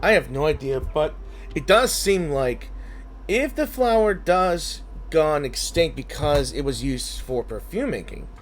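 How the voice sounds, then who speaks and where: A young man giving casual playthrough commentary as a gaming host, reacting aloud in loose, conversational phrases. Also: a little high.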